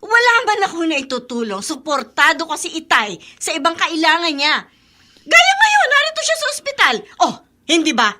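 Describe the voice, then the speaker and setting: A sharp, accusing Filipina younger sister. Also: female voice, very high-pitched, sing-song, moderate pace, full character voice. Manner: accusing, pointed, self-justifying. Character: accusing, resentful younger sister who pays bills. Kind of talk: Filipino radio drama acting.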